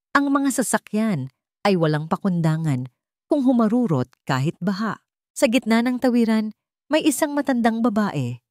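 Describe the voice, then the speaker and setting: A woman's voice: on the low side, a little mellow, no room sound, studio quality. A woman reading a scripted story as a dramatic narrator.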